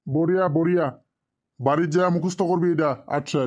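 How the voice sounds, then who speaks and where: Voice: medium pitch, steady tone, brisk, darkish tone, lightly acted. A performer voicing a strict male village schoolteacher in a cartoon, instructing pupils in colloquial speech. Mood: approving. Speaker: teacher. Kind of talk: cartoon classroom lesson, recitation.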